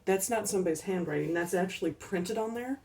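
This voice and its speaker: A woman casually showing off her thrift store finds, unhurried and chatty with ums and little laughs, her voice a bit worn as she is losing it. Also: medium pitch, vocal fry, darkish tone, recorded from a distance. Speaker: collecting channel host. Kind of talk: casual thrift haul chat.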